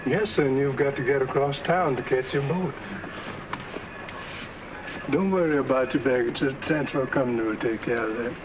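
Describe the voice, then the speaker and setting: An actor playing a polite, deferential, attentive railway porter in a 1940s film. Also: male voice, normal speed, pauses often, lightly acted. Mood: attentive, concerned. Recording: noisy old film track, train rumble.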